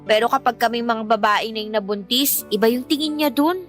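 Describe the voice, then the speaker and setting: Radio drama actress as hard-up Filipina teenage working girl, candid emphatic talker, highish voice, music underneath. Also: brisk, lightly acted. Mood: aggrieved. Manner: conversational, emphatic storytelling. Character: eighteen-year-old working girl, candid, worn by family hardship. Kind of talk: Tagalog radio drama acting. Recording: music bed under the dialogue.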